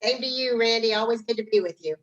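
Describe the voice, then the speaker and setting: A woman's voice: fairly high pitch, fast, highs cut off. A middle-aged woman, a guest business trainer, gives conversational instruction in a plain-spoken, emphatic manner.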